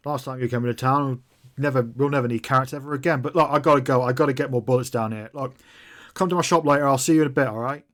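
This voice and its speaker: A man's voice: mid-range voice, fairly even intonation, fast talker, fairly bright tone, natural voice. A man voicing a foul-mouthed mutant gun runner. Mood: businesslike. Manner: blunt, swearing, rambling.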